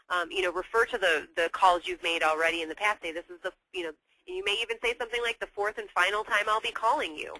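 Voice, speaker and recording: A woman's voice: mid-range voice, sharp tone, no echo, poor audio. An American woman co-presenting sales advice conversationally, in a wordy way, often circling back to rephrase.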